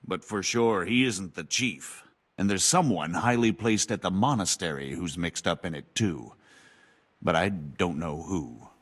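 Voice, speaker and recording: An actor playing a scheming imprisoned knight who talks slyly and self-pityingly in a video game cutscene. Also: male voice, deep voice, measured pace, a bit bright, husky, heavily acted. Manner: sly, wheedling, self-pitying. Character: scheming imprisoned robber knight, older man.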